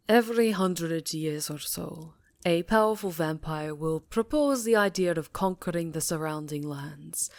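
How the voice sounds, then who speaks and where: Actress as vampire countess: low register, unhurried, imperious and secretive, breathy ASMR intimacy. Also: female voice, dry recording. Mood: grave, resolute. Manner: measured, dramatic, breathy delivery dipping into whispers. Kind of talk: intimate ASMR roleplay monologue.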